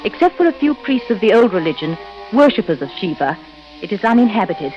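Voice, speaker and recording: An actress playing a sardonic, unsentimental widow and guide in an old radio serial. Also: female voice, medium pitch, big pitch swings, a little fast, close to an everyday voice, low-quality recording. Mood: secretive. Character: brisk, cynical English widow guide in the jungle. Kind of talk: old-time radio adventure acting. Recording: old radio drama recording with music.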